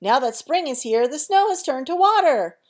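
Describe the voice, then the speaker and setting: A woman's voice: high voice, animated, moderate pace, voice pushed up. A woman doing a chirpy little bird. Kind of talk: storybook read-aloud.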